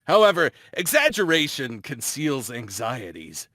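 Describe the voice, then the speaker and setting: A man's voice: medium pitch, wildly swooping pitch, measured pace, fairly bright tone, no room sound, studio quality, heavily acted. A man playing a grandiose, cornered mad doctor with a theatrical, brooding manner in an amateur table read. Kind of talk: amateur anime-style drama table read.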